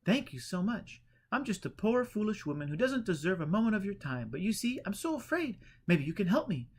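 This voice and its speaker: An anxious woman in her early thirties, as a male narrator plays her in an audiobook. The voice sits very high and frets apologetically, earnest and high-strung. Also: big pitch swings, a little fast, husky, heavily acted. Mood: timid, apologetic. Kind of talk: audiobook character voice.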